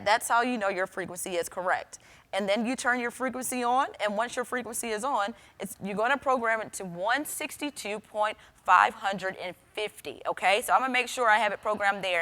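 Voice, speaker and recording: A woman's voice: mid-range voice, bright, forceful tone. A woman weather forecaster presenting an explanatory how-to product demonstration, speaking directly to the viewer. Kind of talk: instructional product demonstration to camera.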